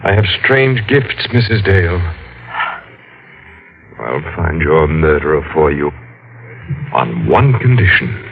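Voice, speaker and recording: An actor playing a sinister, obsessed suitor in a 1940s radio drama, speaking quietly and slowly with menace, on a muffled old broadcast. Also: male voice, very deep voice, big pitch swings, gravelly, exaggerated voice. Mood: menacing, calm. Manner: quiet, slow, menacing, dropping to a murmur. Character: sinister, soft-spoken obsessed male suitor.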